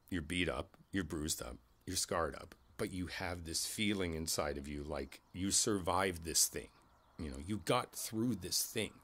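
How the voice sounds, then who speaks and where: A man acts out an older neighbor with a low, deep voice in an intimate ASMR roleplay. He is kindly and world-weary, speaking as if in a private conversation. His delivery is reflective and halting, rambling gently as he offers comfort.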